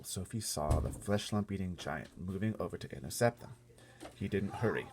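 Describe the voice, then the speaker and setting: A man with a gravelly, husky voice reading a storybook aloud expressively, with casual asides. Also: low voice, a little mellow, a little hiss or hum. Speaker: storybook reader.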